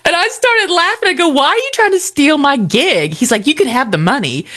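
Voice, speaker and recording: A woman's voice: high-pitched, fairly quick. A woman co-hosting a morning radio show, telling stories in casual banter and laughing often. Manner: animated storyteller, laughs often. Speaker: radio co-host.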